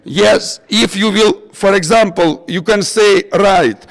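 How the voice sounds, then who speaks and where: High-voiced non-native English man, echoey hall. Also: gravelly. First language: not English. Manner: halting, repetitive, searching for words. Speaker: workshop instructor. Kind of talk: informal live technical workshop. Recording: echoey hall with long reverb.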